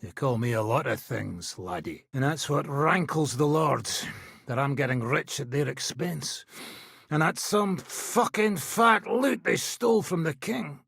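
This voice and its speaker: A gruff swaggering older-ish merc nobleman in a game, super husky, forceful edge, brash and sardonic. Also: on the high side, measured pace, dry recording, clean studio sound, exaggerated voice. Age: middle-aged. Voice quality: raspy, gravelly. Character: gruff, swaggering middle-aged mercenary nobleman, foul-mouthed medieval soldier. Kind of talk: video game voice acting.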